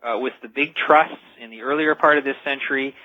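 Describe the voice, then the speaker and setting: A man's voice: mid-range voice, fairly even intonation, fairly quick, no echo. A man speaking as an expert guest in a radio interview, explaining earnestly and emphatically, repeating key phrases. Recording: dull, dry lo-fi radio audio.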